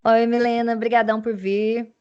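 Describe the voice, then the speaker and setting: A woman's voice: fairly high pitch, flat delivery, normal speed, clean tone, highs cut off. A woman with a Mineiro accent hosting an interview over a video call, chatting casually with frequent tag questions and laughs. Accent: Mineiro Brazilian Portuguese. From Minas Gerais, Brazil. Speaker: interview host.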